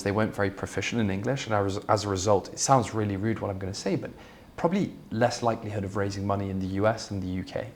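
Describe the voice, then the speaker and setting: Low-voiced founder, candid. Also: male voice, slight background noise. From Belgium. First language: Flemish. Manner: candid, fluent, many fillers and restarts. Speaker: startup founder, guest. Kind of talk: conversational interview answers.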